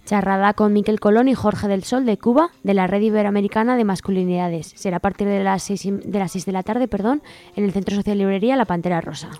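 A woman's voice: mid-range voice, fast talker, dark tone. A woman with an accent from Spain, co-hosting a community radio show and reading out event times and places. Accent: Spanish from Spain. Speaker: radio co-host.

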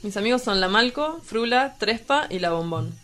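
A woman's voice: on the high side, animated, normal speed, fairly bright tone, soft background music. Woman playing a crude, teasing Argentine street poet. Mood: casual teasing. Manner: blunt, vulgar, teasing. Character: crude, idle young male street poet, teasing and cynical. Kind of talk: radio drama dialogue.

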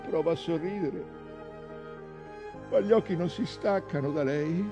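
An actor playing an intense, wistful man recalling his youth in a hushed, halting, intimate theatrical monologue. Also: fairly high pitch, highs cut off, over music.

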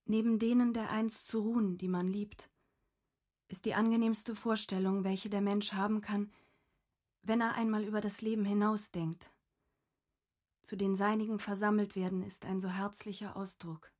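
An actress as a contemplative young diarist, medium-pitched. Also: female voice, level tone, normal speed, a little mellow, natural voice. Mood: quietly contemplative. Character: reflective, gentle young woman reading from her diary. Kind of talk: scripted literary radio reading.

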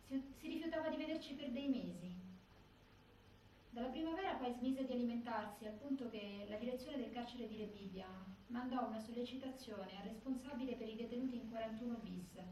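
A woman's voice: a little high, warm tone, lightly acted. A woman acting a prisoner's relative giving sober testimony on stage in a very echoey hall. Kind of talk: documentary theatre testimony. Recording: very echoey hall, distant, faint noise.

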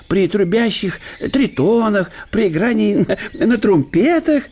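A fawning courtly old professor. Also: male voice, sits high, darkish tone, slightly rough, heavily acted. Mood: eager. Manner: obsequious, hesitant with fillers. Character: fussy courtly German professor, older man. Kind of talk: radio play acting.

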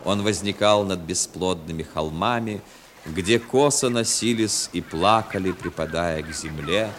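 A man narrating a literary story in a 1960s radio play, expressive and measured. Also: sits low. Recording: 1960s radio broadcast with faint noise.

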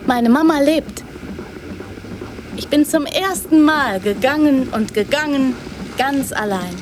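A woman's voice: sits high, expressive, slow, slight background noise. An actress playing a trusting, direct young girl in a radio drama, speaking in plain, naive short sentences. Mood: naive, earnest.

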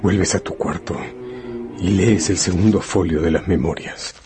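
A man's voice: sits low, unhurried. A man narrating a gothic radio drama in a solemn, suspenseful, literary style. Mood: solemn, hushed. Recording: radio play with music underneath.

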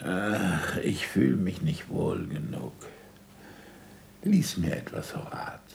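In a 1960s radio drama, an ailing old male scholar held captive. He dictates very slowly, with deliberate halts, and his creaky voice swings widely in pitch between meekness and sly irony. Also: sing-song, a little hiss or hum, exaggerated voice. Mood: weary, ailing. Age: older. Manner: slow, halting dictation with deliberate pauses. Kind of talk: 1960s German radio play acting.

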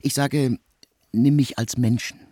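A man playing a reserved, lonely composer of about forty in a radio drama, speaking formally and haltingly, as if confiding. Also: medium pitch, steady tone, dark tone, dry recording, clean studio sound, natural voice. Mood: hesitant confession.

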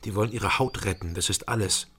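An actor playing a dogged, sceptical East Berlin investigator in a radio crime drama, with a dry, laconic, sardonic manner. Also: male voice, lowish voice, a little fast, pushed and bright, breathy edge. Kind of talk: German radio crime drama, dialogue and first-person narration.

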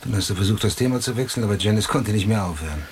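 A driven police detective in a German radio drama. His voice is low and gravelly. He speaks in terse, stubborn, hard-boiled phrases over faint background ambience. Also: expressive, very fast, a little hiss or hum. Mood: probing.

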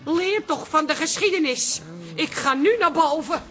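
An actress playing a suspicious, sharp-tongued café landlady with a Dutch accent in a comic radio play, sarcastic and interrogating. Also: high voice, lively intonation, unhurried. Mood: exasperated.

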